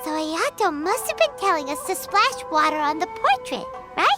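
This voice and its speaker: A woman voicing a tiny, childlike fairy companion in a video game, with a squeaky, excitable, chatty delivery. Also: normal speed, loud music behind the voice. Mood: curious. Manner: squeaky, childlike, exclamatory, speaks of self in third person. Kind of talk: video game character dialogue.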